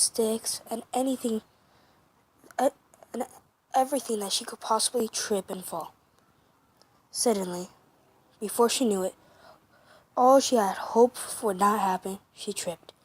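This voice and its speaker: A woman narrating a fan story for her first amateur comic dub, halting with many restarts and self-corrections. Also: medium pitch, breathy edge. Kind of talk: reading a fan story aloud.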